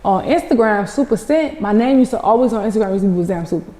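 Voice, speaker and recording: A woman from New Orleans with a thick New Orleans accent talks quickly and casually, slipping into other people's voices as she tells her stories. Her tone is mellow and dark. The sound is roomy, with a long reverb tail. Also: mid-range voice, animated. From New Orleans, USA. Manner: storytelling, quotes others in dialogue. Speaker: guest, social media personality. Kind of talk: casual interview talk.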